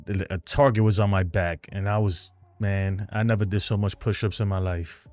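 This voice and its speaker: A man's voice: on the low side, steady tone, moderate pace, darkish tone, soft background music. A man with a New York accent hosting a fan live stream, chatting loosely and rambling as he answers viewer comments. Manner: rambling, talkative, loose and frank.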